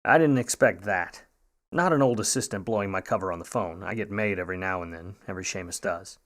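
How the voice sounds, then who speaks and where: An actor playing a wry, slangy, smooth-talking private eye in an old-time radio noir drama. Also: male voice, deep voice, fairly quick, fairly bright tone. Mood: brooding, wry narration. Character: grudging, smooth-talking hardboiled 1950 Hollywood private eye.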